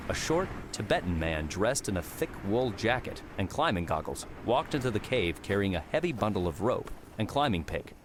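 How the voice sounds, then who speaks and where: A man's voice: on the low side, very animated, normal speed, hardly any pauses, background noise. A man reading scripted third-person fiction as an audiobook narrator. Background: prominent music with outdoor ambience.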